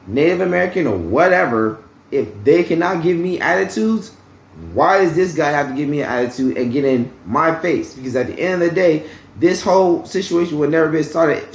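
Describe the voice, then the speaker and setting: A man's voice: a little high, roomy sound. A man casually telling a story about his job, rambling and repeating himself, often asking "okay?" and swearing bluntly. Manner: blunt, uses profanity freely. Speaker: vlogger telling a work story.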